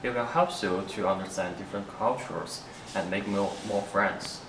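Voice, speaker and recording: Low-voiced Chinese man, echoey recording. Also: moderate pace. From China. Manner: recites short prepared statements. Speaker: international student. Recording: echoey room from far off, noisy.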